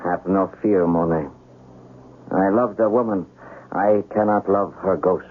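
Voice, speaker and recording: A man's voice: low voice, fairly even intonation, unhurried. An actor playing a passionate, lovelorn young samurai nobleman in a 1970s radio drama, formal and emotive. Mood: subdued. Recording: phone-like noisy vintage radio.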